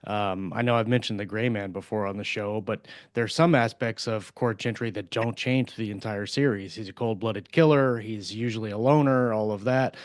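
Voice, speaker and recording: A man's voice: lowish voice, fairly even intonation, moderate pace, hardly any pauses, dry recording, studio quality. A man co-hosting a podcast, talking casually and conversationally about his views. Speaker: podcast co-host. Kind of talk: casual book discussion.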